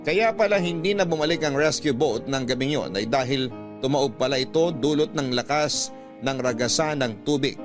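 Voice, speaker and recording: A man with a Filipino accent narrating a scripted dramatic story as a radio drama storyteller. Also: fairly high pitch. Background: background music.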